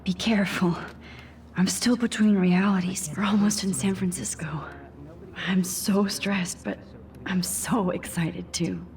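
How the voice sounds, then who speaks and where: A woman voicing an anxious, introspective teenage girl in a video game, speaking softly and often half-whispering to herself. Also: medium pitch, fairly even intonation, measured pace, slight background noise, lightly acted. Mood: hushed, nervous excitement. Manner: soft, breathy, half-whispered musing. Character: anxious, soft-spoken teenage girl student, introspective time traveler. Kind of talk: video game acting, inner monologue and dialogue.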